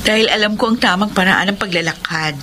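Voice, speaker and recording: An actress plays a sweet young Filipina in love in a radio drama. Her mid-range voice is playful and teasing, heard over clear background noise. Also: mid-range voice, quick pace, bright, forceful tone, lightly acted. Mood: playful, relaxed. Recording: noisy outdoor-scene ambience.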